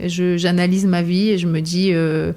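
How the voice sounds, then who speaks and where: A woman's voice: lowish voice, little pitch movement, normal speed, dark tone. A woman singer who grew up between France, Lebanon and Ivory Coast, talking in a casual interview in a reflective, searching way, with many hesitations and frequent laughter. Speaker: singer, guest.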